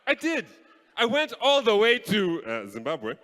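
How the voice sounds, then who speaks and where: A young man from Kenya doing live stand-up comedy on a stage mic, playful and animated. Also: sits high, a little slow. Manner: animated, playful, switches voices. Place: stage in a large hall. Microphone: handheld stage mic. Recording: live hall with long reverb.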